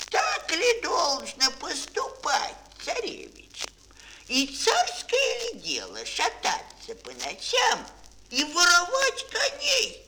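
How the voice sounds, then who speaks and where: Высокоголосого грозного сказочного царя. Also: male voice, very high-pitched, sharp tone, pitched above the performer's own voice, exaggerated voice. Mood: scolding, indignant. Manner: declamatory, theatrical. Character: stern, blustering tsar scolding a young thief. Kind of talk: fairy-tale audio play acting. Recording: noisy old mono record.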